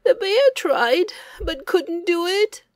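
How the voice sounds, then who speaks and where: Here is a meek little hare from a children's storybook. The voice is very high and plaintive, drawing out its words slowly and swooping up and down. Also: female voice, expressive, no echo, clean studio sound, heavily acted. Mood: sad, doubtful.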